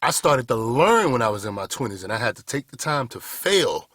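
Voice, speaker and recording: A man's voice: medium pitch, very animated, brisk, a bit bright, no echo, clean studio sound. A man, giving a blunt, preachy motivational talk straight to the camera. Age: 30s. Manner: blunt, preachy, addresses viewers as "y'all boys". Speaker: motivational speaker. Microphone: camera mic, speaking to camera.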